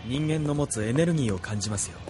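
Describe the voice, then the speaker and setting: A male actor plays an earnest junior officer in a Japanese audio drama. He speaks formally and respectfully at a middling pitch, over prominent music and vehicle sound. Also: lively intonation, fast talker, lightly acted.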